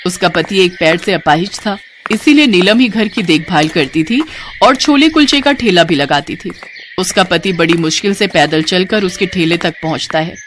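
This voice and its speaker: A woman's voice: on the low side, animated, moderate pace, fairly bright tone, slight background noise. A woman storyteller reading a scripted tale as narrator.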